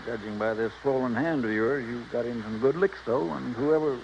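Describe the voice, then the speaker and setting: An actor playing a fussy, inquisitive male doctor who soothes and insists in a measured way, in 1950s radio drama style. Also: medium pitch, creaky, darkish tone, close to an everyday voice. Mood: solicitous. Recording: muffled, noisy old radio recording.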